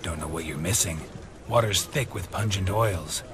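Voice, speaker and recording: An actor playing a weary, sardonic middle-aged monster hunter in a video game, with a low gravelly voice and deadpan delivery. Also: male voice, normal speed, background noise, close to an everyday voice. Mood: dryly sardonic. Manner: deadpan, dry.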